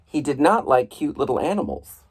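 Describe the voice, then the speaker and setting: A man reading a children's book aloud in an expressive, playful storybook style, with a husky voice. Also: medium pitch, normal speed. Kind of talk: animated storybook read-aloud.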